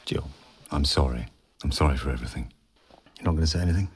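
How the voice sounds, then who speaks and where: A self-assured scientist in charge of a risky sound project. His voice sits very low, with the pushy confidence of a man always selling his next scheme. It is a radio drama performance. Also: fast talker. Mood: pleading. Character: boastful scheming male scientist boss. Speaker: project leader.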